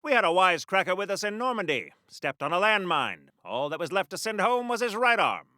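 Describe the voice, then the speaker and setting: An actor playing a pompous, prudish American superhero war veteran of the 1940s in a video game, with a theatrical old-fashioned delivery. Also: male voice, high-pitched, very animated, brisk, bright, forceful tone, no room sound, studio quality, exaggerated voice. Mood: earnest, stuffy. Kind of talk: video game acting in 1940s radio hero manner.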